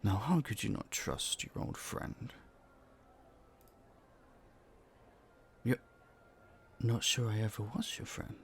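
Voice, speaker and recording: Low, creaky gentlemanly young prince acted by a man. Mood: earnest. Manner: playful, polite, slightly flustered, hesitant stammers. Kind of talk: romantic audio roleplay, speaking to a listener. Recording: faint noise, background ambience.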